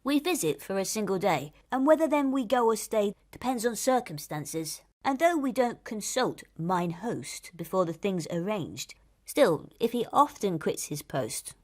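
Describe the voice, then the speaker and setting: A woman's voice: medium pitch, sing-song, measured pace, a little mellow. A woman voicing a chatty, mischievous, mocking male ghost who fusses over haunting rules in a theatrical reading of comic verse. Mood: brisk, dismissive. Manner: theatrical, full of chuckles.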